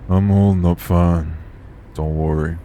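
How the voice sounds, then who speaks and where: A man playing a steady, guarded, streetwise vampire traveler in an audio roleplay, matter-of-fact with folksy clipped word endings. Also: low voice, measured pace, a little hiss or hum. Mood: calm, wary.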